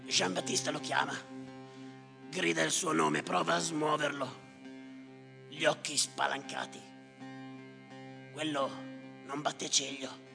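An actor delivering a theatrical monologue as a haunted, embittered official, in terse, repetitive, incantatory phrases with a gravelly voice. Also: male voice, a little high, level tone, slow, long pauses, full character voice. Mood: grim, intense. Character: haunted, embittered male official narrating drownings at sea. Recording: reverberant theatre hall with live music.

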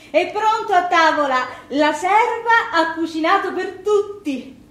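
Actress as bitter, high-voiced Sicilian widow. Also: female voice, lively intonation, measured pace, fairly bright tone, full character voice. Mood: anguished, resentful. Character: bitter battered Sicilian widow, youngest of three sisters. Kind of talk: theatrical monologue in Sicilian dialect. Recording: roomy theatre sound with background noise.

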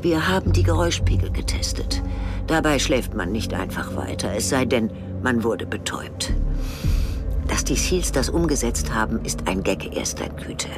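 A sardonic intelligence official in a radio drama. His pitch is medium and swings widely as he explains with clipped confidence, often dropping hushed. Music runs clearly underneath him.

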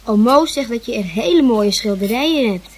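A woman's voice: fairly high pitch, lively intonation, moderate pace, darkish tone. A woman playing an eager, polite ten-year-old boy in a radio play.

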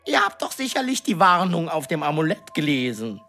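Pompous little demon servant, swooping wildly. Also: female voice, sits low, big pitch swings, brisk, fairly bright tone, soft background music, full character voice. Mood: fussy, indignant. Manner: pompous, flowery, servile courtly address. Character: small fat warty green demon servant, fawning, cheeky, self-important. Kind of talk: radio drama acting.

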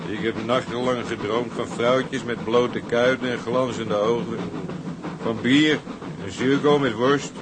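An actor playing a lusty, grumbling, earthy sailor in a radio drama. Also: male voice, steady tone, unhurried, close to an everyday voice. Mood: grumbling, wistful. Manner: earthy, bantering. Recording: clear train rumble and hiss.

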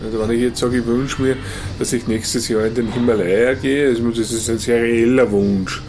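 A man's voice: mid-range voice, steady tone, normal speed, over music. A man talking casually and reflectively, as an interviewee. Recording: clearly noisy ambient background.

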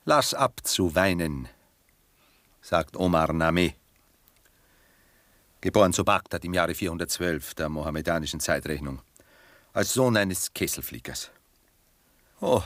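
An actor playing a cultured, melancholic gentleman poet in a German radio drama, speaking in a measured, courteous, reflective manner. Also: deep voice, no room sound. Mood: courteous, wry.

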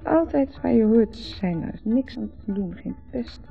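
An actress with a Netherlands Dutch accent playing a dreamy, teasing wife who rambles and repeats herself in a radio drama. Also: female voice, a little high, animated, normal speed, vocal fry. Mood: musing. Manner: rambling, repetitive, musing. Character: dreamy, rambling, teasing wife, drinking under a full moon. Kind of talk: 1970s radio drama acting. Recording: dull old radio recording with music.

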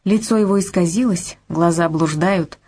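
A woman reads literary prose aloud in a measured, expressive way. Her voice sits on the low side and lifts noticeably whenever the text asks a question. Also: slightly rough. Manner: measured, expressive reading, lifting the pitch on questions. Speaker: audiobook reader. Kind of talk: scripted literary narration.

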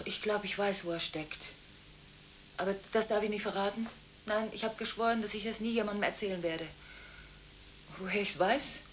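An actress playing a volatile, contradictory woman arguing through one side of frantic telephone calls in a radio drama. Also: a little hiss or hum. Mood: evasive. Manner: abrupt swings between hushed confiding and shrill scolding.

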